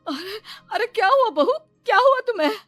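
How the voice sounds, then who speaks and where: A woman's voice: very high voice, animated, moderate pace, bright, forceful tone, no room sound, faint music behind, heavily acted. A woman playing caring relatives of a pregnant wife in a cartoon story, soothing and reassuring. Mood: concerned. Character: caring husband and mother-in-law, soothing and reassuring. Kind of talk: Hindi animated moral story acting.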